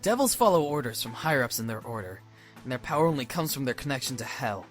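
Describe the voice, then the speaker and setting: A man's voice: mid-range voice, sing-song, fast talker, soft background music, lightly acted. A man voicing an earnest young demon in a visual novel, explaining things emphatically and reassuringly.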